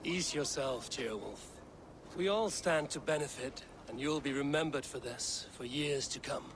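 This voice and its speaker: A man's voice: medium pitch, measured pace, background noise, natural voice. Man playing an eager, poetic Viking warrior. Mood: earnest. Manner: earnest, poetic. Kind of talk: dramatic video game acting.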